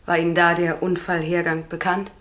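A woman's voice: fairly low pitch, little pitch movement, brisk, a bit bright, close to an everyday voice. A woman acting a cool state prosecutor who questions witnesses formally and exactly in a radio drama. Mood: insistent. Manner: formal, probing, precise. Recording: roomy, echoing radio drama studio.

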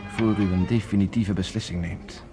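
A self-assured leader in a radio play, assertive and persuasive. His voice is fairly low. Music runs clearly beneath him. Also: steady tone, moderate pace. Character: confident underground leader, proud and forceful. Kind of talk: radio drama acting.

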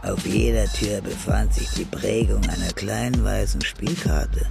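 A man's voice: lowish voice, expressive, unhurried, vocal fry, exaggerated voice. A man narrating a hard-boiled crime radio drama in a scripted style, as its storyteller. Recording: loud music under the voice. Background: music.

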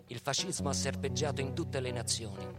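Male narrator for a radio play: deliberate, theatrical reading, medium pitch, plucked guitar music clearly behind.

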